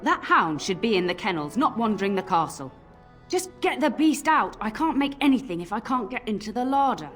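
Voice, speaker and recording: An actress playing an irascible, bossy castle cook in a fantasy video game, scolding and blustering. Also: female voice, a little high, very animated, brisk, soft background music. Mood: exasperated anger.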